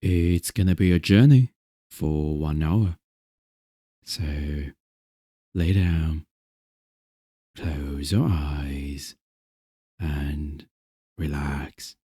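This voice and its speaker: A man softly narrating a soothing ASMR bedtime story in a gentle, unhurried, hushed manner over music. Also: extremely low pitch, husky. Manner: gentle, hushed, unhurried, at times near a whisper. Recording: music behind the voice.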